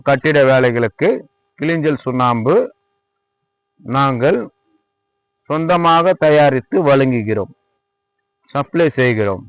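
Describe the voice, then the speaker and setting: A man with a Tamil Nadu accent gives an informal product tour and sales pitch, repeating himself as he demonstrates and politely addresses the viewer. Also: rough recording. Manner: repetitive, demonstrative, addressing viewer respectfully as sir. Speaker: lime manufacturer presenting own workshop. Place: at a lime kiln worksite.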